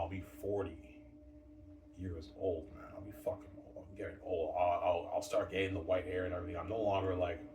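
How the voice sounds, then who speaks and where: A man's voice: sits low, far from the mic. A man in his thirties talking casually and repetitively to his viewers, looping back on the same phrases. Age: 30s. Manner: repetitive, loose, addresses viewers as guys. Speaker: vlogger. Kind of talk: casual rambling monologue. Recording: echoey room, off-mic, background noise.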